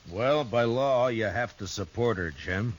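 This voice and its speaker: An actor playing a steady, dry-witted frontier marshal in a 1950s radio drama, calm and wry. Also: male voice, mid-range voice, a little slow, dull audio, natural voice. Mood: dry, firm.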